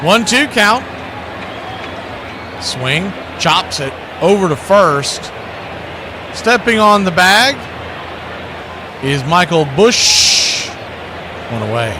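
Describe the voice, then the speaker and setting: A man's voice: high voice, very animated, drawn-out words, pauses often. A man doing live radio baseball commentary as a play-by-play announcer, with folksy, colorful phrasing. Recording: clear outdoor ambient noise behind.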